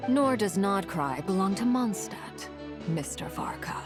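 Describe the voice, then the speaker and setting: An actress playing a composed, guarded woman of rank in a video game, speaking in a measured, formal manner. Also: mid-range voice, animated, natural voice. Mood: cool, composed. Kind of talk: video game character acting. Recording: background music under the voice.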